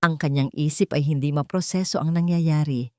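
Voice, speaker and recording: Low-pitched woman narrating a dramatic story. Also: normal speed, mellow, no echo, studio quality.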